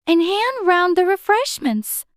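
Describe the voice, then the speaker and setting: A man's voice: very high voice, sing-song, measured pace, no echo, studio quality, pitched above the performer's own voice, heavily acted. A man voicing an eager, polite, curious little girl in a storybook, speaking in a childlike way. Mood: curious musing.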